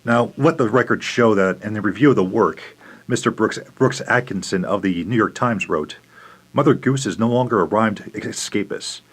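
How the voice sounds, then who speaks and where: Stern lowish-voiced congressman played by a man. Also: moderate pace, close to an everyday voice. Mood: accusing. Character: stern 1930s congressman questioning a witness. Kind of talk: staged radio theater reading.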